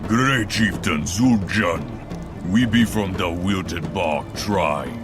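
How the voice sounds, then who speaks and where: A man voicing a devoted troll tribesman in a fantasy game, speaking reverent, rousing troll patois. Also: low voice. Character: devoted male troll tribesman, eager for war. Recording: music under the voice.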